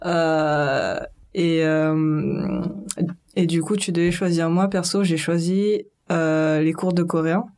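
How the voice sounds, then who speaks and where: A woman's voice: deep voice, slow pace, a little mellow. Chatty young woman, slangy and casual. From France. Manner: chatty, loose slangy speech full of fillers. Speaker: interviewee. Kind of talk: casual conversation.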